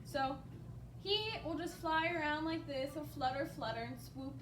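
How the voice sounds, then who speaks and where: A woman hosting a children's story time, reading a picture book aloud expressively and chatting casually to the young listeners as an animated storyteller. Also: sits high, normal speed, slight background noise. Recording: roomy, distant microphone, music behind.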